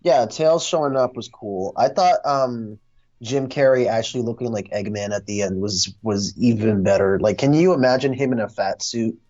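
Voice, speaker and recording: A man's voice: medium pitch, moderate pace, darkish tone. A dull, lo-fi recording of a man with a mid-range voice. He talks casually about films, hesitating and thinking aloud as he goes. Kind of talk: casual movie discussion. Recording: dull, lo-fi sound.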